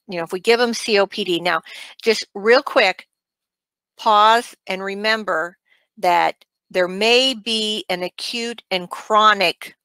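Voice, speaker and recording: A middle-aged American woman teaching an online class in an informal, conversational, halting way, checking in with listeners as she goes. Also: medium pitch, a bit bright, smooth, no room sound. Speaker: medical coding instructor. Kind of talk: informal webinar teaching with screen walk-through. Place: small indoor room, webcam online session.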